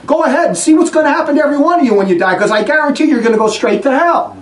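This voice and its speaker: A man giving an impassioned religious talk to a group in a fast, blunt, combative way. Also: extremely high pitch, lively intonation. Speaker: religious preacher. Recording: echoey room, distant mic, faint noise.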